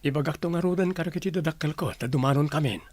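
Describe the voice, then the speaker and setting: A man's voice: a little high, quick pace, darkish tone, no echo, close to an everyday voice. A Filipino man playing an earnest, courteous young suitor in a radio drama. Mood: hopeful, earnest.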